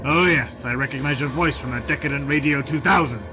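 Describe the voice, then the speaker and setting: A man plays a military general in an audio drama, his voice pitched fairly high for a man. He is smug and hard, sneering at his captives and commanding his troops. Loud music plays underneath. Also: brisk, natural voice. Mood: gloating.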